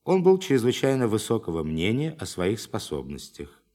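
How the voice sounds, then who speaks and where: An actor playing a forceful science official who addresses a meeting formally and emphatically in a radio play. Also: male voice, fairly low pitch, wildly swooping pitch, normal speed. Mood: grave, formal.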